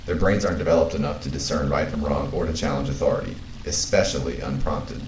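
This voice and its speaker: A man playing a calm, frank companion who reasons in a measured, blunt way, as in an audio drama. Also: extremely low pitch, recorded from a distance. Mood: concerned, reassuring. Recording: roomy, off-mic, faint background noise.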